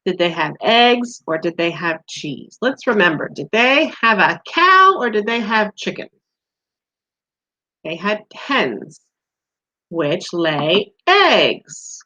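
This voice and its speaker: A woman teaching young children speaks slowly, with a lively, swinging pitch. She puts simple questions to her small listeners and encourages their answers. The recording is a little dull and lo-fi. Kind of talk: interactive read-aloud quiz for young children.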